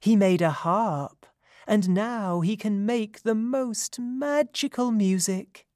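A storyteller voicing a gentle female mountain fairy from a myth, in a sweet, soft voice. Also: takes it slowly, dry recording, clean studio sound, lightly acted. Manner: sweet and gentle, a little higher.

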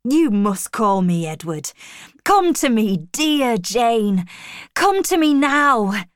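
A woman voicing an ardent, commanding gentleman in love, earnest and intense, in a storybook reading. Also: lowish voice, takes it slowly, no echo, clean studio sound. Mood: tender.

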